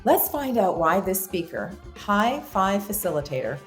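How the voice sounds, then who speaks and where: A woman's voice: mid-range voice, very animated, measured pace, roomy sound, soft background music. A woman hosting an interview show, reading introductions and encouraging her guest. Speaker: show host. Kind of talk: hosted interview.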